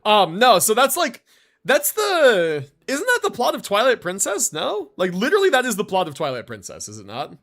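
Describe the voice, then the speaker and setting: High-pitched man, animated livestream commentary. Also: moderate pace. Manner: casual, mild swearing, thinking aloud. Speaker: live game streamer. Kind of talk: casual livestream commentary reviewing game builds.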